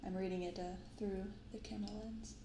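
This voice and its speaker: A soft-spoken woman with a creaky, fry-edged voice at a middling pitch narrates in an ASMR style, moving between reading aloud and casual commentary. She is picked up in a roomy space with noticeable background noise. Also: steady tone, brisk. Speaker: host of a card-opening channel. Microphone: binaural microphone.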